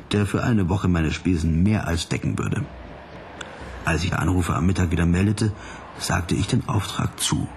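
A man's voice: low-pitched, vocal fry, slight background noise. An actor playing a jaded, self-important male pop detective who recalls old cases in dry, wry radio play narration. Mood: matter-of-fact recounting. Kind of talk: hard-boiled first-person radio play narration.

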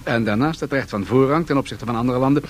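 An actor playing a shrewd, unyielding Swedish business magnate who bargains in a calculated way, in radio drama style. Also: male voice, medium pitch, fast, natural voice. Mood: polite, calculating. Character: shrewd, unyielding Swedish match tycoon negotiating huge deals. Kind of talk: 1970s Dutch radio drama acting. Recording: noisy 1970s radio drama recording.

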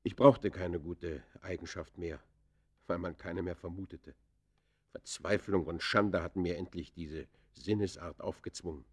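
An actor playing an embittered outlaw poacher, confessing in the theatrical, literary manner of a classic German radio play. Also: male voice, deep voice, fairly even intonation, measured pace, breathy edge. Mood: bitter, disdainful.

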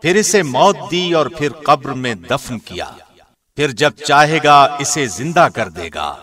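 A man reading a scripture translation as a scripted narration, in a measured, formal way. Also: mid-range voice, big pitch swings, a bit bright. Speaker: translation narrator, radio host.

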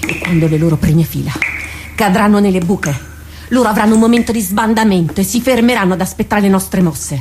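Behind clear noise and clicks, an actress plays a driven woman captain in a radio play. She plots battle obsessively, with deliberate, half-murmured intensity at a middling pitch. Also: a little mellow, natural voice. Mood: feverish, resolute. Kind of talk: theatrical radio drama acting.

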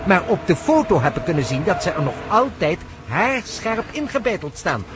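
Excitable professor, swooping pitch. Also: male voice. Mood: lecturing. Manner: lecturing, precise, dramatic pauses. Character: excitable, pedantic male professor of science. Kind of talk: Dutch radio play acting. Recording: noisy radio play, engine drone.

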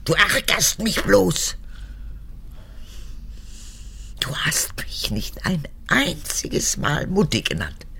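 Gravelly old woman in a radio play, cruel and manipulative mother, swoops wildly from whisper to outburst. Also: huge pitch swings, slow pace, bright, forceful tone, full character voice. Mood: defiant, peevish. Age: older. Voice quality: husky, gravelly, low. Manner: theatrical, swinging between whispers and outbursts. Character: old unrepentant female camp guard, capricious, manipulative mother. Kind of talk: radio drama acting.

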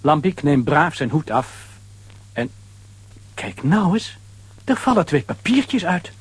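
Levendige mannelijke verteller met hese stem. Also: male voice, a little high, very animated, takes it slowly, husky, no echo. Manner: conversational, dramatic storyteller. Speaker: narrator. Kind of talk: lively storytelling narration for a children's audio play.

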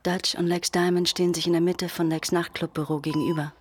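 A performer as a detached, deadpan narrator reading scene directions in a radio play. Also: mid-range voice, fairly quick, slight background noise, lightly acted. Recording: music behind narration.

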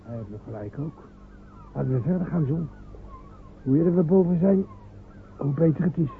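An actor in a radio play playing a rough, loyal comrade, gruff and urgent. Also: male voice, on the high side, normal speed, close to an everyday voice. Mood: weary. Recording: tinny, noisy old radio play recording.

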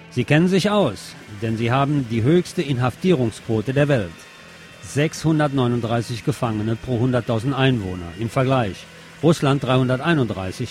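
A man's voice: medium pitch, a little mellow. Man reading scripted news commentary over music. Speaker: news commentator presenting a radio programme.

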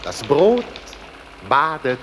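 An actor playing a provocative Dada stage announcer, declaiming absurd manifestos deliberately in a radio drama. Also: male voice, a little high, very animated, background noise, exaggerated voice. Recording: hall-like long reverb.

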